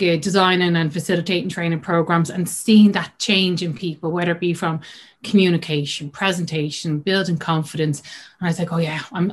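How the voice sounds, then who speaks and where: A woman in her thirties with a Dublin accent, chatting casually as an interview guest and acting out remembered conversations. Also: lowish voice. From Ireland. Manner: chatty, many fillers, acts out quoted dialogue. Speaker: guest coach.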